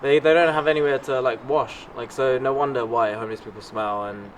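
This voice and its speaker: A man's voice: mid-range voice, steady tone, a little fast. A young man chatting casually and earnestly as a fundraiser and host. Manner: earnest, informal. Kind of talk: casual chat. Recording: faint outdoor traffic noise.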